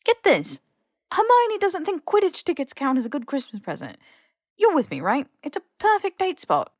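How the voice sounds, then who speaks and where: A woman voicing a blunt, excitable, grumbling young British man in an audiobook story. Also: high-pitched, normal speed, no echo, clean studio sound, pitched above the performer's own voice. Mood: eager. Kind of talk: audiobook character dialogue.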